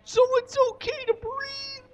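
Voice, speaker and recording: A man voicing a naive, excitable, childlike boy puppet in a squeaky voice at a live comedy show. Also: unhurried. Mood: confused, anxious. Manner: squeaky, exclamatory, gullible. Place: small indoor stage.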